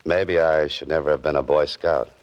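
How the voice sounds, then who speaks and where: A man's voice: a bass voice, fairly even intonation, normal speed, dry recording. An American man playing a wry, easygoing writer-adventurer in a 1940s radio detective drama, with laconic, hesitant asides. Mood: cautious, dry. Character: wry, easygoing male writer-adventurer narrating his own case. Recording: dull old radio recording with music.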